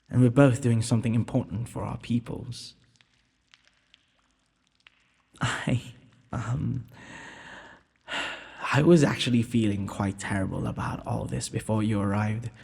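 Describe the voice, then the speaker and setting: A man's voice: mid-range voice, unhurried, creaky, a little mellow, slightly rough. A man playing a shy, tender, self-doubting young prince in a romantic audio roleplay, speaking in hesitant, formal courtly phrases. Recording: long hall-like reverb.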